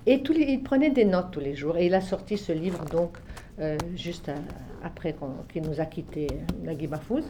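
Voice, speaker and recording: An older woman from Egypt, a literary translator with both Arabic and French as native languages, recalling her work in a reflective way with many restarts and soft trailing phrases. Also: lowish voice, expressive, normal speed, background noise. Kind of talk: relaxed spoken recollection.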